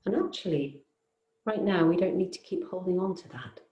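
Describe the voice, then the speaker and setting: A woman teaching yoga nidra, guiding a relaxation in a soothing, unhurried, gently inviting way with long pauses. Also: fairly low pitch, roomy sound, muffled recording. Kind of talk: guided relaxation meditation.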